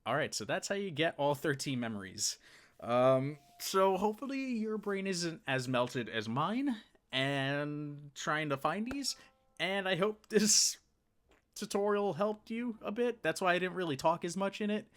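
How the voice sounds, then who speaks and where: A man's voice: a little high, animated, unhurried, fairly bright tone. A man talking casually and informally to viewers as the host of a gaming channel, rambling a little. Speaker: gaming video creator and host.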